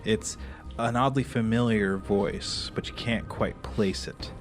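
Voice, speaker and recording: A man's voice: fairly low pitch, fairly even intonation, a little slow. A man running a tabletop roleplaying game, describing scenes vividly and slipping into offhand rules talk. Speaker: game master. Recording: music behind the voice. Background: background music.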